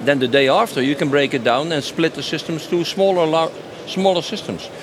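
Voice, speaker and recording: A man's voice: on the high side, brisk. A man gives spontaneous, enthusiastic interview answers as a company sales director, stressing and repeating his phrases. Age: 50s. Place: trade show stand. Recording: noisy busy exhibition location, clear background noise.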